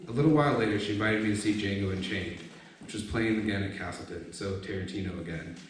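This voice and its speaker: Low-voiced man reading in a very echoey room. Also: fast. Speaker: author reading a personal essay at a book launch. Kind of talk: reading a written personal essay aloud to an audience. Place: echoey room at a live book launch event. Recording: very echoey room, distant mic, faint noise.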